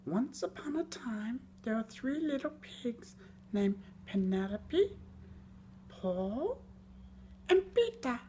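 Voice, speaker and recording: A woman reading a scripted children's story in a theatrical, expressive way, lingering on words. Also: fairly high pitch, slow pace, slight background noise.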